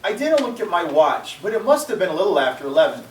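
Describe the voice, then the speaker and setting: Echoey, excitable guilty husband acted by a man. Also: sits high, quick pace, recorded from a distance, a little hiss or hum. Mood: guarded. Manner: agitated, melodramatic. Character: defensive, guilty husband cornered by interrogators. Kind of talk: old-time radio play acting.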